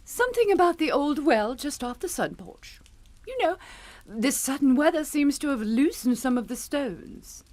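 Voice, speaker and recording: A woman's voice: high voice, big pitch swings, normal speed, darkish tone. An actress playing an anxious, polite English mother and hostess in a radio suspense drama, genteel and fussing. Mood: anxious politeness. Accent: British.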